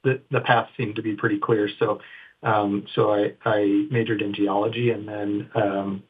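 A man's voice: fairly low pitch, little pitch movement, normal speed, breathy edge. A middle-aged man from northern Idaho, a geologist guest, talking casually in an interview with many fillers and restarts. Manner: hesitant, many fillers and restarts, soft-spoken. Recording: tinny phone-like line.

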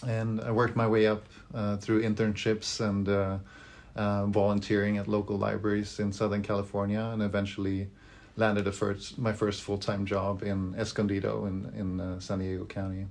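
Low, flat-voiced Swedish man. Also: normal speed, vocal fry. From Sweden. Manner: thoughtful, hesitant with frequent fillers and restarts. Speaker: library director. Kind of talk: interview answers.

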